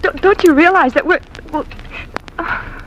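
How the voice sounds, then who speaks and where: An actress playing an anxious, faltering niece in a 1940s radio thriller, on a noisy old recording. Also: female voice, very high-pitched, measured pace, fairly bright tone, lo-fi sound. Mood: horrified, afraid. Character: frightened, hesitant young niece plotting with her partner. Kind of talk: 1940s radio drama acting.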